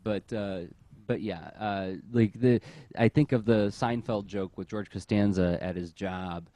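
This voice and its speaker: A man hosting a casual podcast discussion, speaking in an animated, teasing way into a close microphone. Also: fairly low pitch, a little slow, no echo. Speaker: podcast host.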